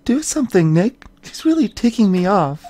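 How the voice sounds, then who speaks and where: An eager, pushy young female sidekick from a detective game, voiced by a woman. The voice is soft-edged and mellow at a middle pitch, on a clearly noisy recording with clicks. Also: sing-song, moderate pace, lightly acted. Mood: annoyed. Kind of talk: fan voice acting of game dialogue.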